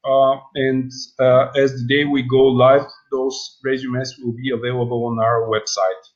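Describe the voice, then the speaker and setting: A man giving a business presentation on an earnings call, speaking haltingly with long pauses. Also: medium pitch, little pitch movement, lo-fi sound. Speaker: company presenter.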